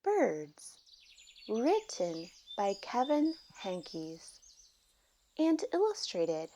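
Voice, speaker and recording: A woman reading a children's picture book aloud, with deliberate, expressive phrasing for young children. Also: medium pitch, a little hiss or hum. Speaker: storybook reader.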